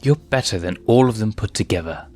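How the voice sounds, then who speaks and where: A man's voice: lowish voice, expressive, fairly quick, a little mellow, faint music behind. A man voicing a cocky young male lion cub prince in a storybook, breezy and self-assured.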